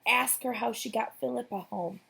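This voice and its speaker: A small, invisible imaginary friend from a children's story, played by a woman, speaking in a raised pitch. He is anxious and earnest, full of wondering questions and wry little asides. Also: moderate pace, vocal fry, bright, forceful tone, breathy edge, full character voice. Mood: hopeful urgency.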